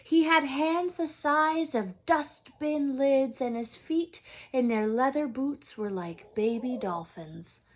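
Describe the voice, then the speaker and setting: A woman reading a storybook aloud with expressive narration and deliberate, dramatic emphasis. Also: high-pitched.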